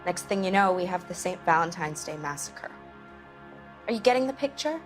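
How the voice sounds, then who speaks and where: An actress playing a world-weary nightclub worker in a 1940s noir game, wry and knowing. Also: female voice, fairly low pitch, lively intonation, normal speed, faint music behind, natural voice. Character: world-weary woman who works at a nightclub. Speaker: nightclub witness.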